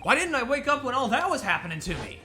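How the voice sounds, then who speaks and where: A woman's voice: high voice, sing-song, quick pace, pitched above the performer's own voice. A woman voicing a nervous young male lawyer who talks in a flustered, exclaiming way, over game music. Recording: game music playing underneath.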